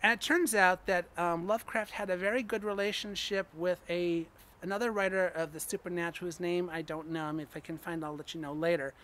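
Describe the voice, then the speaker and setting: A man's voice: sits high, steady tone, a little fast, fairly bright tone. A man giving an informal personal talk to an audience, hesitant and searching for words but enthusiastic. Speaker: story reader speaking to an audience.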